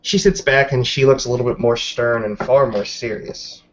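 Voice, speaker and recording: A man's voice: mid-range voice, steady tone, normal speed. A man improvising third-person narration as a player in a tabletop role-playing game. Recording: slightly muffled lo-fi audio.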